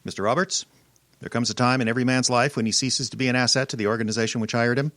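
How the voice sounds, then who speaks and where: A man's voice: medium pitch, fairly even intonation, fast, a bit bright, no room sound, lightly acted. A man acting a callous, impatient newspaper publisher in an old-time radio drama, brusque and imperious. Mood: cold, curt. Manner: brusque, imperious, barking orders.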